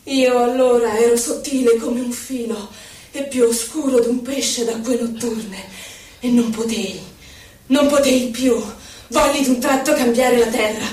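High, mournful inner voice played by a woman, echoey. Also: steady tone, measured pace, a little mellow, close to an everyday voice. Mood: troubled. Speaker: stage actress. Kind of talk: theatrical poetry recitation. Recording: echoey hall, distant mic, music.

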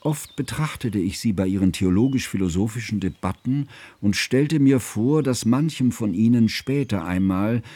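Low-voiced, reflective scholarly narrator. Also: male voice, animated, mellow, no room sound. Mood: wistful, reflective. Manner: measured, formal, reflective. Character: reflective, devoted scholarly male friend narrating memories. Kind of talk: literary radio drama narration.

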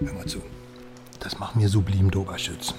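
A man's voice: sits low, fairly even intonation, moderate pace, loud music behind the voice, lightly acted. An actor playing a cocky, boastful small man, an irreverent journalist in a radio crime drama, talking in a wry, chatty way. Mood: cocky, amused.

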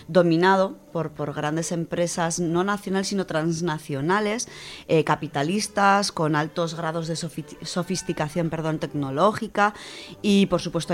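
Low-voiced woman explaining on radio. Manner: thoughtful, with frequent hesitations and restarts. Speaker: radio host presenting a book segment.

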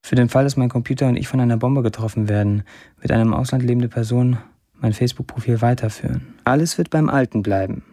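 An actor playing a brash young Syrian writer, delivering an intimate first-person monologue in a radio drama. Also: male voice, medium pitch, fast talker, mellow, lightly acted. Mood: wry, dark. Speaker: lead actor reading a writer's status posts.

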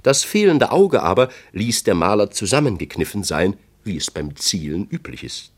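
A man with a very deep voice narrates a scripted literary tale. His intonation moves widely and vividly, as a storyteller does in a radio play. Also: sing-song, normal speed. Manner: storyteller, acting out quoted speech.

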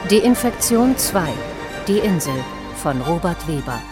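Casting: female credits announcer, lowish voice, slow and formal, music bed underneath. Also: sing-song. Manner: measured, formal. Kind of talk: scripted credits reading.

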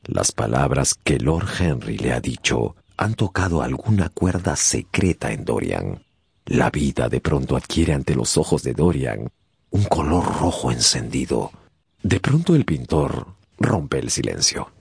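A man narrating a radio drama as a scripted story, theatrically and with deliberate pauses. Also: a bass voice, big pitch swings, vocal fry, a little mellow, husky, dry recording. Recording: music behind the voice.

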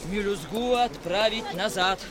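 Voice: medium pitch, a little slow, crowd noise, natural voice. В радиоспектакле 1960-х звучит мальчишка-заводила, который командует ватагой. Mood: bossy. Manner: commanding, shouting orders. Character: bossy ringleader boy of a gang. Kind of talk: 1960s radio drama acting. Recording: noisy 1960s outdoor radio scene.

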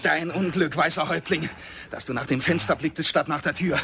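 An actor in a German radio drama playing a proud, vengeful Comanche warrior with solemn, declamatory delivery. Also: male voice, a little high, fairly quick, vocal fry, background noise. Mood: menacing.

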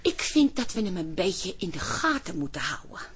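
An actress in a radio play playing a nosy, worrying wife who coaxes and insists. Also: female voice, on the low side, very animated, moderate pace, a little hiss or hum. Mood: worried, insistent. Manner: coaxing, insistent. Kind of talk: Dutch radio play acting.

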